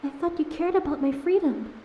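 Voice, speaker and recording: Woman as a high-voiced, echoey captive young mermaid. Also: lively intonation, dull audio. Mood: hurt, accusing. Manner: halting, emotional, pleading. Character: young captive mermaid, proud, wounded and wary. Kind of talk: scripted fantasy audio roleplay. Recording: echoey tank-like reverb, faint ambience noise.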